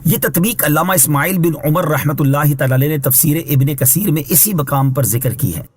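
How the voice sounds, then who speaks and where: Male religious scholar for scripted exegesis narration. Higher than average pitch, rough-edged tone, formal teaching delivery. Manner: measured, formal, instructive. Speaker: Islamic scholar reading translation and commentary.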